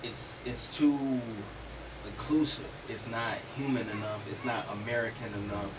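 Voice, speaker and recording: A man's voice: medium pitch, steady tone, measured pace. A man talking frankly in a casual discussion, musing aloud with the occasional joking aside. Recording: distant mic with noticeable background noise.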